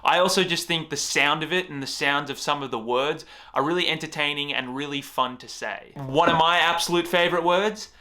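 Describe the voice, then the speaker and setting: A man's voice: fairly high pitch, a little fast, hardly any pauses, sharp tone. A man hosting a casual explainer vlog, wry, joking and opinionated. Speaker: YouTuber.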